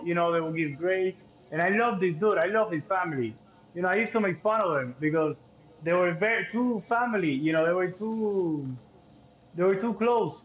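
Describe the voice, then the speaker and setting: A young man from Cuba with a Cuban Spanish accent, a guest in a casual podcast chat, talking animatedly and repeating himself with lots of "like" and "you know". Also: high voice. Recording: dull echoey room audio with faint hiss.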